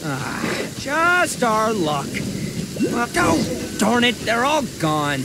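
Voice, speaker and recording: A man voicing a boastful, excitable oni in a video game, talking in brash, slangy bluster. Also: very high-pitched, very slow, vocal fry, very noisy, exaggerated voice. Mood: dismayed. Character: boastful, excitable oni man, self-styled beetle-fighting expert. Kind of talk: animated video game voice acting.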